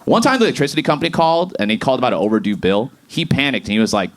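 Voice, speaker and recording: A man of thirty doing a stand-up comedy set on a club stage, animated and jumping to high shouts on punchlines. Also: racing. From Boston area.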